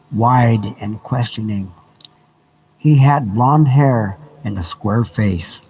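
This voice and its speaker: A man narrating an audiobook live in a deliberate, measured, dramatic reading style. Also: medium pitch, a little mellow, big-room sound, rough recording. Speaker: narrator and reader. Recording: live reading with background ambience noise. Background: ambient background sounds.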